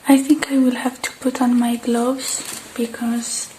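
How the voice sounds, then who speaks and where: Slow, hushed woman doing ASMR roleplay. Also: a little high, little pitch movement, poor audio. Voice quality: soft, breathy whispering. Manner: hushed, slow, halting murmurs to the listener. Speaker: ASMR artist. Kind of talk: ASMR roleplay as a robot repair technician, soft-spoken and whispered. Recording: close ASMR mic with faint noise.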